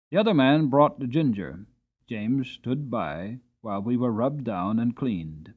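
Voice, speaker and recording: A man narrating an audiobook in a dramatic storytelling style, with expressive, theatrical emphasis. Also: mid-range voice, narrow, tinny audio.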